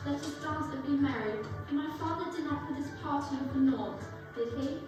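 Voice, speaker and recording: Young actress as teasing sweetheart, high, echoing. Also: female voice, moderate pace, close to an everyday voice. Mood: playful, teasing. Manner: playful, proper. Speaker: youth stage actor. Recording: very echoey hall, distant mic, music behind.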